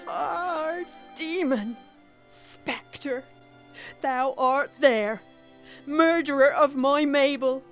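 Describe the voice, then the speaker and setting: A woman's voice: high voice, fairly bright tone, full character voice. A woman playing an anguished, grief-mad mother in a Victorian melodrama, declaiming every line. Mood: raving fury. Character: grief-mad mother cursing her daughter's murderer. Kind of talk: Victorian melodrama audio acting.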